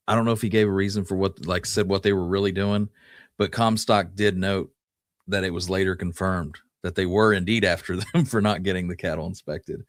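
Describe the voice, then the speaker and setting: A man with a low voice tells frontier history in a conversational way, as a podcast co-host would. His phrasing is folksy and comes in halting pieces, and the recording is close and dry. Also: dry recording. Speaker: podcast co-host telling the story.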